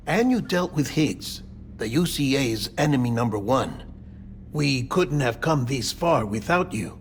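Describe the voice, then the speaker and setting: A man playing an earnest, analytical official in a video game cutscene, explaining things in a measured, persuasive way. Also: medium pitch, a little hiss or hum. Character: earnest, analytical male official guiding the hero.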